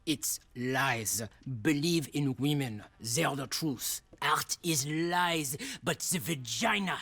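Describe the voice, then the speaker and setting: A man's voice: a little high, takes it slowly, pushed and bright, exaggerated voice. A man playing an eccentric, bawdy, self-mocking French painter with a French accent, rambling theatrically in a video game cutscene. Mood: bawdy musing. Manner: theatrical, rambling, hesitant interjections.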